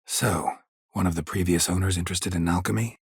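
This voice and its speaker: An actor playing a gruff, weary, sardonic monster hunter for hire in a video game, with terse, deadpan delivery and a low gravelly voice. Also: male voice, a little fast, no room sound, exaggerated voice. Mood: dry, questioning. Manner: terse, dry, deadpan, clipped sentences. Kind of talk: video game character dialogue.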